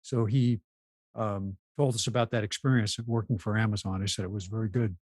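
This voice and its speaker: A man's voice: lowish voice, fairly even intonation, a little fast, darkish tone, no echo. A man talking casually as a panelist, explaining things and telling anecdotes. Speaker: talk show panelist.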